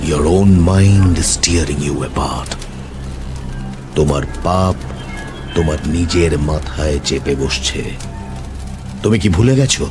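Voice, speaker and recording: A man playing a cold, relentless tormentor in an audio drama, accusing and taunting with deliberate, insistent delivery. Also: extremely low pitch, over music. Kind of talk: audio drama acting, Bengali mixed with English.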